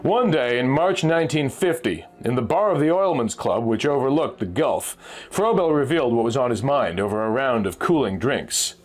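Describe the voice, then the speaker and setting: A man's voice: mid-range voice, expressive, normal speed. A man narrating a pulp adventure story from a script, as a storyteller reading aloud. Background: music.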